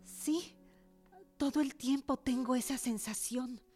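A woman's voice: high voice, slow, mellow. An actress playing a resolute young patriot woman devoted to justice, in a radio drama. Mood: resolute, tender. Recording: music under the voice.

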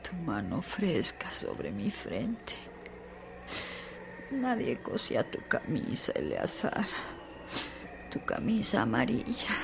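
A woman's voice: rumbling low, gravelly, heavily acted. An actress playing a delirious dying woman in a radio drama, murmuring in broken, feverish lines. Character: delirious dying woman calling for her lover. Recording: noisy radio play recording.

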